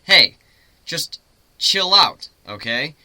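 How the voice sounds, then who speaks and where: A man's voice: fairly high pitch, big pitch swings, drawn-out words, bright, forceful tone, no room sound, full character voice. A man voicing a brash, sarcastic young male griffin who leads with blunt, sardonic remarks. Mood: teasing.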